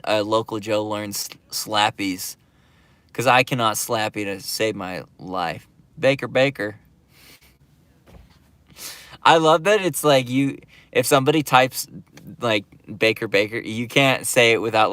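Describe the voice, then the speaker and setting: A man's voice: on the low side, normal speed, fairly bright tone, clean tone, no echo. A man hosting his skateboarding channel's livestream, reading viewer comments aloud and chatting back in a laid-back, filler-heavy way. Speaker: YouTube skate channel host reading live chat. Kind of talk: casual livestream chat.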